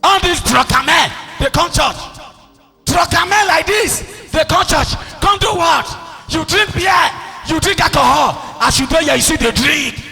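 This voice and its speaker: A man's voice: very high-pitched, expressive, a little slow, creaky, bright, forceful tone, shouting, some chatter behind. A man with a Nigerian accent preaching a fiery, scolding sermon as a pastor, commanding and repeating his phrases. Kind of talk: fiery Pidgin-inflected sermon. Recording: echoey hall PA, music behind.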